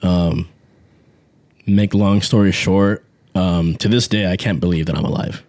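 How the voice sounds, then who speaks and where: A man's voice: sits low, measured pace, dry recording. A man in his twenties co-hosting a casual faith podcast, talking easily with fillers and restarts. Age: 20s. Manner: relaxed, frequent fillers and restarts, laughs easily. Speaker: podcast co-host. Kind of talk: casual chat. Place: small home podcast setup. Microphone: studio podcast mic.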